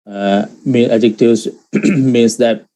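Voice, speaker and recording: A man whose first language is Sinhalese, teaching Pali grammar in an online lecture, speaking in halting phrases with many fillers and restarts. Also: medium pitch, fairly even intonation, warm tone. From Sri Lanka.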